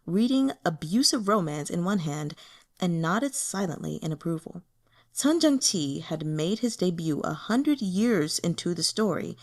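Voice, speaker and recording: A woman reads a translated fantasy novel aloud as a steady, expressive storyteller. Her voice sits at an ordinary middle pitch but moves up and down a great deal. The reading is measured and lively. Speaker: audiobook reader.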